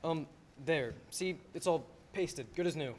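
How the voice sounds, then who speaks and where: A man's voice: a little high, a little slow, lightly acted. A man playing a harried, short-tempered small-town father in an old-time radio play. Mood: subdued.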